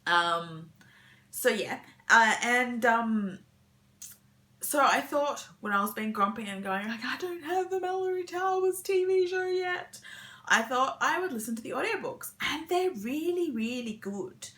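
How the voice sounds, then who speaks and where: A woman's voice: high-pitched, animated, measured pace, sharp tone. A young adult woman talking casually as a book vlogger, rambling and interrupting herself with enthusiastic asides. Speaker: book vlogger sharing weekend reading plans. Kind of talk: casual rambling chat to camera.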